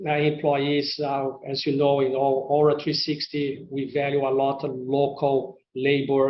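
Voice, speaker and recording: A man who speaks English as a second language, presenting company results to investors as an executive, in a halting, hesitant way with repeated words. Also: medium pitch. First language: not English. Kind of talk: semi-scripted earnings call presentation to investors. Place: conference call line in a roomy space. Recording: roomy, muffled conference-call audio with faint noise.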